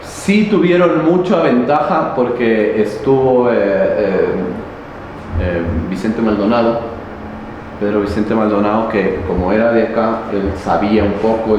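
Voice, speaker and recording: A man explaining local history in an interview, pausing often with fillers and restarts. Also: fairly low pitch. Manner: halting, many fillers and restarts. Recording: very echoey, noisy, reverberant space.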